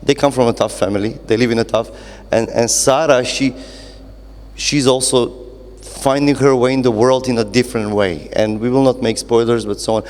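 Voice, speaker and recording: A man moderates a literary conversation in English. His voice sits at an ordinary male pitch and rings with long hall reverb. He feels his way through questions, restarting and rephrasing as he goes. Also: steady tone, darkish tone. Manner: halting, rephrasing as he builds questions. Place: indoor event space with reverberant acoustics.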